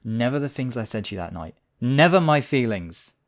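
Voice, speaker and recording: A man's voice: mid-range voice, very animated, normal speed, close to an everyday voice. A man playing a smug, obsessive demon king in a theatrical audio roleplay, silky and possessive in his wooing. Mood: insistent, possessive. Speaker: voice actor. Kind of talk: theatrical roleplay monologue, ASMR audio drama.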